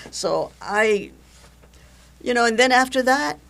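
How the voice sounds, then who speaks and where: Older woman, gravelly voice. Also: on the high side, slow pace. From Italy. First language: Italian. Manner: lively storytelling, chuckles often. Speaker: guest, events coordinator. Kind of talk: casual interview chat.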